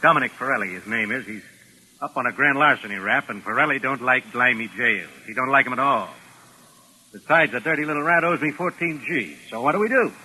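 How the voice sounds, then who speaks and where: An actor playing a cocky, menacingly friendly American gangster boss in a 1940s radio drama. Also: male voice, mid-range voice, animated, a little fast, bright, forceful tone, slightly rough, full character voice, poor audio. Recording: old 1940s radio recording.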